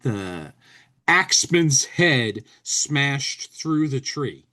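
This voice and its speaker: A man's voice: mid-range voice, big pitch swings, pushed and bright. A man co-hosting a casual talk show, commenting and reading aloud, often repeating words as he works out a thought. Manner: halting, repeats words while working out a thought. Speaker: podcast co-host.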